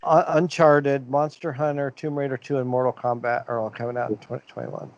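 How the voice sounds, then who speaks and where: A man co-hosting a casual podcast talks quickly and chattily, rattling off lists. His voice sits mid-range. The mic sounds dull and lo-fi. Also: medium pitch, fairly even intonation, dry recording. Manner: quick, chatty, listing things off. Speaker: podcast co-host. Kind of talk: casual chat.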